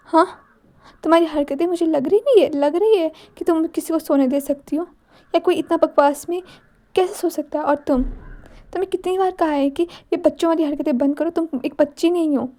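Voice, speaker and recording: A woman's voice: very high voice, brisk, dark tone. A woman voicing a cold, irritable young man who scolds curtly. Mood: angry. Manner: curt, scolding.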